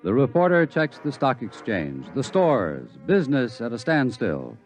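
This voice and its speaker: A man narrating a 1950s radio drama in a measured, ominous way. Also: mid-range voice, huge pitch swings. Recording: dull lo-fi broadcast with music.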